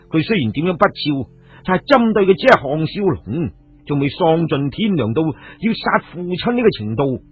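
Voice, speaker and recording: A male storyteller with a high, very husky voice delivers a dramatic serial tale with emphatic asides. The sound is narrow and tinny like an old radio, with music behind. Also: no echo. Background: background music.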